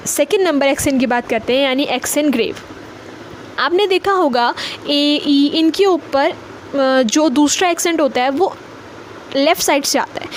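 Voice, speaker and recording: High-voiced young Indian-accented woman teaching in a chatty, emphatic way; light noise underneath. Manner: chatty, emphatic, repeats points. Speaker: language teacher. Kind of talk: casual online lesson mixing Hindi and English.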